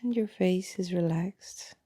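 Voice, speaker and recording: A woman leading a guided body scan meditation in a soft, breathy voice, calm and unhurried, often trailing into a near-whisper with long pauses. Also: on the low side, no room sound.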